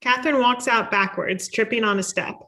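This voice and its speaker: A woman's voice: fairly high pitch, fairly quick, sharp tone. A woman reading scripted screenplay directions as narrator. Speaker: stage directions reader.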